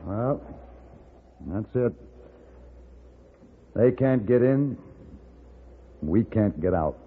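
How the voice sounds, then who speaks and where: An actor playing a cool, resourceful gunfighter in a 1950s radio western, speaking in clipped, matter-of-fact commands. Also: male voice, on the low side, expressive, very slow, breathy edge, heavily acted. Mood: alert, tactical. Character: cool, resourceful male gunfighter protecting a woman. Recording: noisy, tinny 1950s radio recording.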